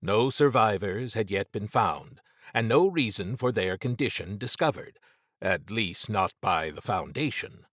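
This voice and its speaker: Low-voiced male audiobook narrator. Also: animated, unhurried, a bit bright, dry recording, studio quality. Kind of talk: dramatic scripted fiction narration.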